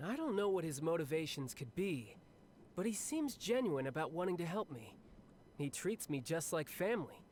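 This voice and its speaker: Cocky cheerful young game warrior, highish voice, breezy and battle hungry, some noise behind. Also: male voice, big pitch swings, moderate pace, a little mellow. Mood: breezy, upbeat. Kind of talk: scripted video game character monologue.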